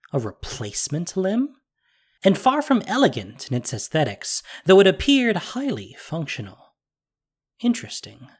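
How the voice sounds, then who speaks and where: A man narrating a scripted fantasy story in an expressive, dramatic way. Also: a little high.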